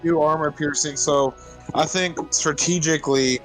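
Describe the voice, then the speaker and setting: A man's voice: fairly high pitch, steady tone, moderate pace, over music, low-quality recording. A man casually chatting as a player in a tabletop roleplaying game, planning his moves aloud.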